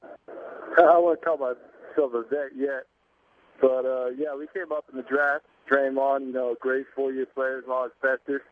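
A man's voice: mid-range voice, unhurried, no echo. Young man calling in over a tinny phone line. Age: young adult. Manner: relaxed, laid-back, many fillers. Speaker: basketball player calling in. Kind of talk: phone-in interview.

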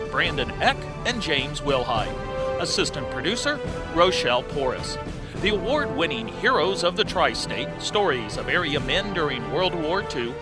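A man reading scripted narration and credits as the producer and narrator of a radio program. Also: on the high side. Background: music behind the voice.

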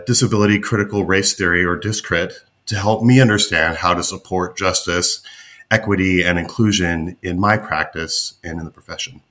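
A man from the United States hosting a podcast, introducing guests in a measured, formal way. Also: low-pitched, pushed and bright. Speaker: podcast host.

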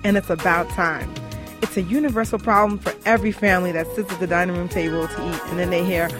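A woman delivering a scripted radio advert as a conversational sales pitch. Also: fairly even intonation, quick pace, over music.

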